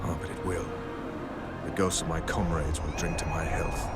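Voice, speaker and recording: An actor voicing a world-weary, proud military commander in a video game, brooding, dry and measured. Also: male voice, rumbling low, little pitch movement. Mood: grim, bitter. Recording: music behind the voice.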